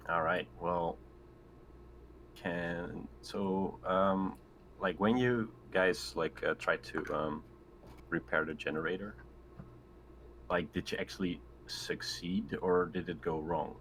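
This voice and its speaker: A man playing a tabletop game, chatting casually in a halting, hesitant way. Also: deep voice, fairly even intonation, a bit bright, a little hiss or hum. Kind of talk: casual chat.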